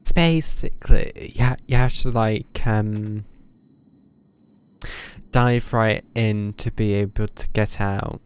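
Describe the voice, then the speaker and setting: A man's voice: fairly low pitch, fairly even intonation, takes it slowly, clean tone. A man giving casual, dryly wry, muttered commentary as he streams a video game. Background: video game music.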